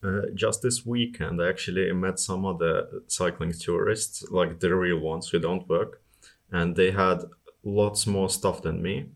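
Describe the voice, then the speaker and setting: A man's voice: deep voice, steady tone, a little slow, gravelly. A man from Estonia answering questions casually as an interview guest about his own travels.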